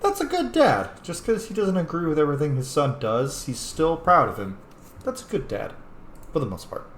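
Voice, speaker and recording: A man's voice: on the high side, very animated, moderate pace. A man giving casual, offhand gameplay commentary with wry reactions. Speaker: gamer commenting on playthrough. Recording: roomy echo, faint noise.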